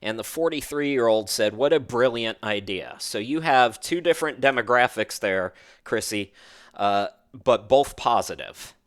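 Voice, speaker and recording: A man's voice: mid-range voice, animated, a little slow, fairly bright tone. A man hosting a podcast in a casual, chatty interview style, with a self-deprecating, rambling manner. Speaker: podcast host.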